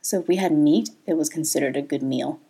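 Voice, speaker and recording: A woman's voice: low voice, a little fast, warm tone, breathy edge. A woman in her thirties, born in Thailand, talking candidly as a podcast host and laughing often. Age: 30s. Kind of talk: personal podcast monologue.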